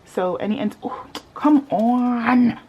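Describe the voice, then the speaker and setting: A woman's voice: fairly high pitch, slow, lo-fi sound, a little hiss or hum. A young woman with a sleepy morning voice, chatting playfully with her viewers while filming a budgeting vlog at home. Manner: playful, animated, talks to viewers as y'all. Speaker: budgeting vlogger.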